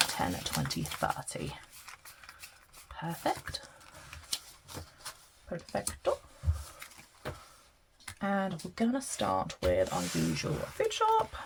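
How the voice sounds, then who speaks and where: Snuffly British woman with a gravelly voice. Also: mid-range voice, noisy background. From Britain. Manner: chatty, counting money aloud, apologetic asides. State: blocked-up nose from hay fever. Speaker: budgeting vlogger. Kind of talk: casual chat while counting cash aloud.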